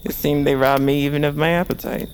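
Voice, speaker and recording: A man playing a desperate, guilt-ridden bird-folk assassin on the run in a fantasy audio drama, speaking in a halting, intense way. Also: fairly high pitch, level tone, a little hiss or hum, natural voice. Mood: haunted, halting.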